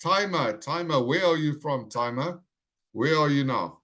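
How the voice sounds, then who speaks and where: A man's voice: a little high, lively intonation, fairly bright tone. Friendly male teacher calling roll online. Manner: friendly, repeats names, slow and clear. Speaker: English teacher. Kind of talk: live online class roll call. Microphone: video call.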